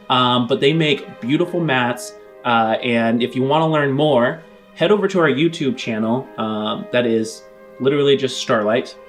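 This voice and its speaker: A man hosting a game podcast as game master in a casual, conversational style. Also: mid-range voice.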